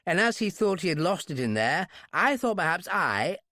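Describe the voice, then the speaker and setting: Guy doing a super posh english secretary, pompous and fussy, high swoopy voice, very dry sound. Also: big pitch swings, normal speed, pushed and bright, no echo, full character voice. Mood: airy, hesitant. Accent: exaggerated upper-class English. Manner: pompous, airy, over-polite. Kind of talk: audiobook character voice.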